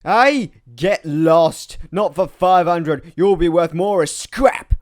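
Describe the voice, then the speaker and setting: A man's voice: high-pitched, slow pace. A man voicing a cocky, streetwise tugboat in a children's show. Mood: dismissive.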